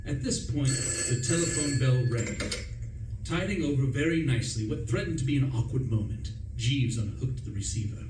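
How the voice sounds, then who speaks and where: A man's voice: medium pitch, moderate pace, background noise, close to an everyday voice. Guy doing posh young gent, flustered and chatty old slang, roomy distant stage recording. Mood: breezy, self-satisfied. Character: flustered, breezy upper-class young English gentleman, narrating. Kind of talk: theatrical radio play narration and dialogue.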